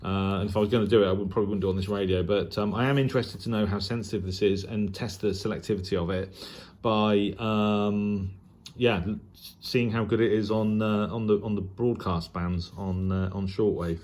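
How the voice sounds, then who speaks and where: A man's voice: on the low side, fairly even intonation, brisk, darkish tone. A man giving casual, unscripted commentary as a hobbyist presenter, hesitant and thinking aloud as he demonstrates gear. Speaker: shortwave radio hobbyist presenting a video. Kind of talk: casual unboxing and demo commentary. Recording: clear background noise and ambience.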